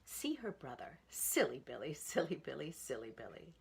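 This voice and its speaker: Low-voiced woman in her sixties, expressive reader. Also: normal speed, creaky, breathy edge. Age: 60s. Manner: expressive, sing-song rhythmic reading. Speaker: storytime reader. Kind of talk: reading a picture book aloud to children.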